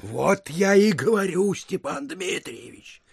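An actor playing an anxious, gossipy provincial prosecutor with a husky, creaky voice in an audio play. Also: male voice, high voice, expressive, slow pace, no echo, studio quality, full character voice. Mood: confiding. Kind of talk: theatrical radio play acting.

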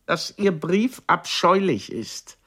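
A woman's voice: fairly low pitch, sing-song, slow pace, fairly bright tone, breathy edge, heavily acted. An actress in a radio drama playing a proud, destitute middle-aged stage actress who reproaches her former lover in formal, theatrical diction. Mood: accusing, scornful. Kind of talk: German-language radio drama acting.

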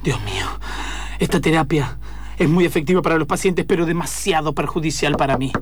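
A man's voice: a little high, expressive, fairly quick, background noise, close to an everyday voice. An Argentine man playing an earnest, weary therapist doctor in improvised musical radio comedy, speaking formally and solemnly. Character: earnest, weary male therapist doctor, overwhelmed by his patients. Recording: guitar music behind the voice.

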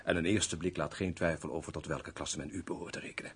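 A deep, husky-voiced army major in a radio play. He is an aristocrat, wry, courtly and formal in his gallantry. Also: fairly even intonation, fast talker, fairly bright tone, heavily acted. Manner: formal, gallant, muttering asides. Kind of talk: radio drama acting.

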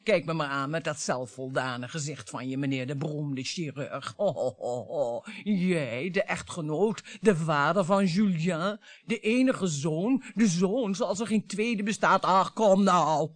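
A woman's voice: low voice, a little slow, hardly any pauses, dry recording. An actress playing a bitter, mocking wife in her fifties in a radio play, with a theatrical way of talking. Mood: scornful. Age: 50s. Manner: theatrical, mocking, swinging between coaxing and scorn. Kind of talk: radio drama acting.

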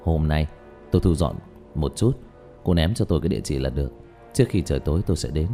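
A man playing a calm, matter-of-fact young male exorcist in an audiobook, speaking in a low-key, measured way. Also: soft background music. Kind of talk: audiobook character dialogue.

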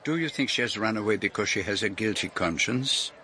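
A man's voice: fairly low pitch, animated, brisk, bright, forceful tone, a little hiss or hum. A man playing a courteous foreign detective in a radio drama, formal and politely probing as he questions people and narrates his case.

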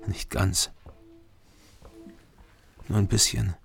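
An actor playing a haunted, guilt-ridden former border guard in a radio drama, speaking in halting, introspective, terse phrases with a creaky voice. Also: male voice, lowish voice, steady tone, faint music behind, exaggerated voice. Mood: brooding, haunted. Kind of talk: radio drama inner monologue and dialogue.

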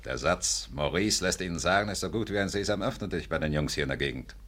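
An actor playing a bossy, menacing, short-tempered gang boss in a 1950s German radio play, gruff and commanding. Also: male voice, deep voice, quick pace, fairly bright tone. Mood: confident.

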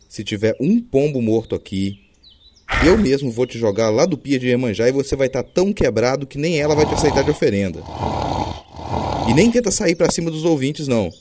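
A man's voice: medium pitch, expressive, moderate pace, pauses often, noisy background. A Brazilian man talking informally and wryly as a podcast host, in a casual, lightly comic style. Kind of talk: casual podcast hosting with scripted comic skit.

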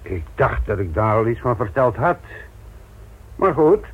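An actor playing a sardonic, gruff older police instructor in a Dutch radio play, patronising and deliberate in manner. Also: male voice, mid-range voice, big pitch swings, a little hiss or hum. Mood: gruff, defensive. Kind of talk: Dutch radio drama acting. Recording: thin, narrow-band old radio recording.

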